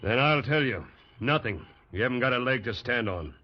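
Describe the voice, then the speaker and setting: A man's voice: mid-range voice, animated, normal speed, natural voice. Man acting a sneering camp boss, old radio sound. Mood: sneering, defiant. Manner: sneering, domineering. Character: arrogant, bullying logging camp boss. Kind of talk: 1940s radio drama acting. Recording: dull old 1940s radio recording.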